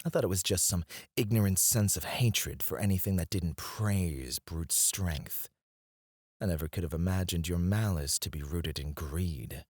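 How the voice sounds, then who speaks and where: Man acting a haughty, low-voiced fantasy alchemist. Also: a little slow, darkish tone, slightly rough, no room sound, studio quality. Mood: accusing, defiant. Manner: haughty, formal, theatrical delivery. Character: cocky, disdainful male royal alchemist, sharp-witted and proud. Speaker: voice actor in audio roleplay. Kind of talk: scripted ASMR fantasy roleplay acting. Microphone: close, dry studio mic.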